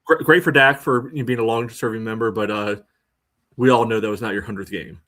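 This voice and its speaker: A man's voice: fairly low pitch, fairly even intonation, brisk, fairly bright tone. A man co-hosting a sports podcast, talking casually. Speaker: podcast co-host.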